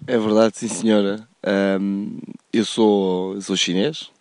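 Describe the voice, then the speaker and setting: A man answering interview questions calmly, hesitant with many fillers. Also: fairly low pitch, slow pace, dry recording. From Portugal. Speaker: guest.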